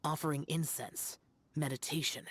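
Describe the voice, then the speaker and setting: A woman's voice: sits low, steady tone, moderate pace, heavy background noise, lightly acted. A woman voicing an aloof, stern young male immortal warrior in a game, terse and formal. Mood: solemn, instructing. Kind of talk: game voice acting.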